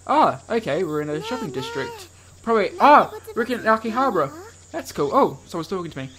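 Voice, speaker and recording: A man's voice: high voice, wildly swooping pitch, a little fast, a bit bright, a little hiss or hum. A man hosting a let's play, informally commenting and reading a visual novel aloud. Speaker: gaming video host.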